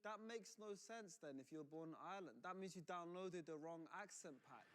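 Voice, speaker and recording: A man in his forties, a game show contestant who jokes quickly and leads the questioning. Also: high voice. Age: 40s. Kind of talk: casual game show banter.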